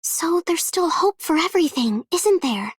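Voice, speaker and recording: A woman's voice: extremely high pitch, animated, normal speed, a little hiss or hum. An actress playing a sincere, hopeful young female operator in a game monologue. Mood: wistful, hopeful. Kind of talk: scripted game character monologue.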